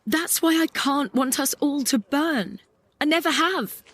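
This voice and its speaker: A woman's voice: high voice, fairly bright tone. A woman playing a melancholic, angry young idealist in a poetic audio drama monologue. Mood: bitter, weary. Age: young adult. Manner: lyrical, reflective, deliberate. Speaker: actor in an audio play.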